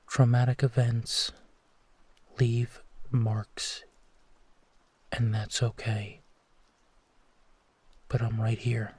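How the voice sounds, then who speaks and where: A man acts as a tender, protective male werewolf in a comfort roleplay audio. His voice is dark, mellow and breathy, and very close and dry. He speaks slowly and softly, pausing as he reassures a frightened companion. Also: medium pitch, dry recording. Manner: hushed, tender, unhurried reassurance with pauses. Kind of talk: intimate sleep-aid roleplay audio, soothing.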